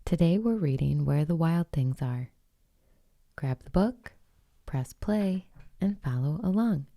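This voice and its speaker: A woman reading a children's picture book aloud as host, in an expressive storytelling manner with dramatic pauses. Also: low voice, vocal fry. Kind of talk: slow bedtime storybook read-aloud.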